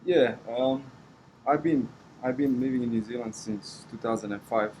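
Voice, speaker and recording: A man with a Romanian accent giving conversational interview answers as a coach, halting and repeating words as he goes. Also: mid-range voice, darkish tone, a little hiss or hum, rough recording. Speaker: wrestling coach.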